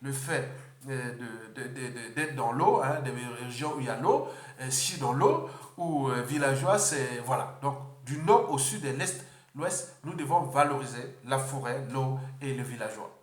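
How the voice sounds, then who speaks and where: Male political speaker from Congo, mid pitch, unscripted and halting, reverberant room. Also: expressive. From Republic of the Congo. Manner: halting, repeats words, many fillers.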